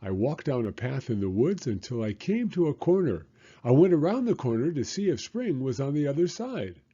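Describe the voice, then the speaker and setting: A man's voice: mid-range voice, sing-song, moderate pace, dark tone, breathy edge, dull audio, voice pushed up. A man voicing a cheerful, kindly frog telling his friend a childhood memory in an earnest, lilting way. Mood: earnest reminiscing.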